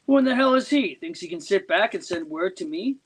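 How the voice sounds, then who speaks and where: A man's voice: sits high, animated, a little fast, husky, pitched above the performer's own voice. A man doing a feisty, defiant old fisherman. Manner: defiant, salty talk. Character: stubborn, feisty male fisherman.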